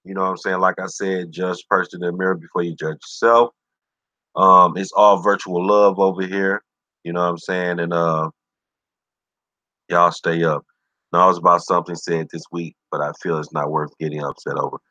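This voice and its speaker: A man's voice: low voice, level tone, normal speed, bright, forceful tone, no room sound, dull audio. A man hosting his own livestream show, talking loosely and encouragingly, constantly saying "you know what I'm saying". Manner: easygoing, encouraging. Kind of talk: casual livestream talk.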